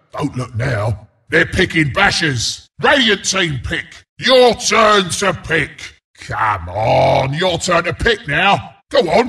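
An actor voicing a scrappy, cocky porcupine brawler as a video game announcer, with a London Cockney accent, a gravelly rasp and cheeky, blunt banter. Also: male voice, fairly high pitch, pushed and bright, heavily acted. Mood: brisk, encouraging. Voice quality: gravelly, raspy.

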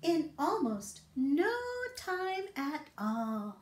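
A woman's voice: high voice, very animated, very slow. A middle-aged woman leading a toddler storytime with read-alouds, songs and rhymes in a playful, encouraging, singsong way. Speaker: children's storytime librarian host. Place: small book-lined office. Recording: roomy indoor echo.

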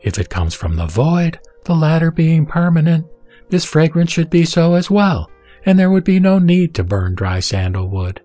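A man reading Buddhist scripture from a script in a measured, didactic manner. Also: fairly high pitch, sing-song, dark tone. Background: background music.

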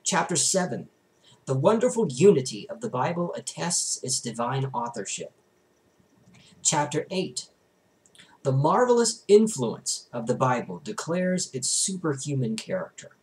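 A young adult man reads aloud from a book in a medium-pitched voice. His delivery is emphatic and declamatory, and his intonation moves widely up and down. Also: big pitch swings, measured pace, darkish tone, rough recording. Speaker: reader, vlogger. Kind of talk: expressive book reading aloud. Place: small bedroom, indoors.

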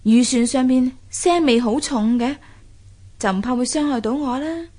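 A woman's voice: high voice, moderate pace, darkish tone. An actress playing a curious, plucky young fisher maiden in a radio drama, exclaiming and sighing as she talks to herself. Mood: wary, relieved. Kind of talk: Cantonese radio drama acting.

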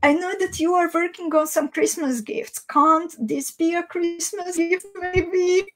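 A woman in her thirties with a Hungarian accent, a native Hungarian speaker, chatting casually and haltingly as she hosts a livestream. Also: very high-pitched, steady tone, a bit bright. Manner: halting, thinking aloud. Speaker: host of a live beading tutorial. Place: small indoor craft room.

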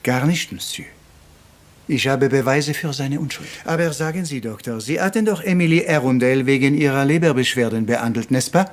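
A man's voice: mid-range voice, fairly quick, close to an everyday voice. A man playing a courteous, probing little Belgian detective in a German crime radio drama, formal and questioning, with French interjections. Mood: polite, inquiring.

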